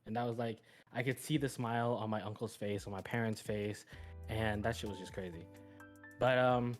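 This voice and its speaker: Casual young man rambling through a story. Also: medium pitch. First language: Vietnamese. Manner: rambling, many restarts and fillers, laughs at himself. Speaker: podcast guest, streamer. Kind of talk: casual life-story chat.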